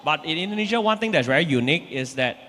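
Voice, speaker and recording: Bright-voiced man on a panel. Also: on the high side, animated, fast talker, slight background noise. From Singapore. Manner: explanatory, adds points from a global view. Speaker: company executive, panelist. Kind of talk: panel discussion. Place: conference stage at a live event. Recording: reverberant live conference hall.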